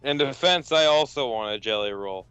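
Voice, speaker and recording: A man's voice: medium pitch, moderate pace, fairly bright tone, clear, resonant tone, no room sound, muffled recording, soft background music. A man co-hosting a let's play, reacting in an exasperated, sarcastic and profane way.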